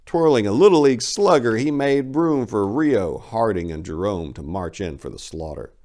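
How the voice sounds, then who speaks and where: Man, lower-pitched, highly animated delivery, theatrical story narrator, emphatic. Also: moderate pace. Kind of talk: dramatic short story reading.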